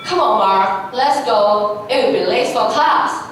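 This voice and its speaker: A young woman playing a caring, determined schoolgirl in a readers' theatre play, speaking deliberately and projecting from a stage. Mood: earnest. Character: Grace, caring determined schoolgirl standing up to bullies. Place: large hall on stage. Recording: echoey hall, distant mic, faint rumble.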